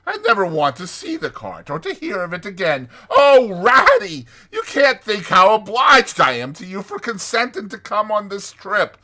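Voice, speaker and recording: Theatrical male toad, very high swooping voice, grandiose, giddy, besotted, gushing storybook style. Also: big pitch swings, pushed and bright, heavily acted. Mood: dreamy, indignant. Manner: gushing, dreamy, dramatic drawn-out words.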